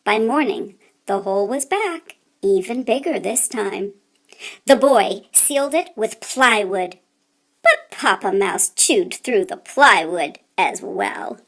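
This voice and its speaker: A woman reading a children's story aloud in an expressive, deliberate way, with theatrical emphasis. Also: medium pitch.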